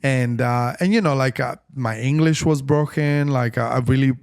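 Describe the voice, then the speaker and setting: A man's voice: mid-range voice, normal speed, clean tone. A man from Italy speaking English with an Italian accent, a tailor talking casually in an interview, with lots of restarts and fillers. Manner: rambling, many restarts and fillers, animated.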